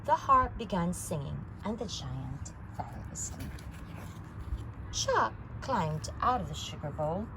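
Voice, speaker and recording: A woman reading a children's story aloud, narrating in an expressive, deliberate way. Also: fairly low pitch, background noise. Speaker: storytime reader.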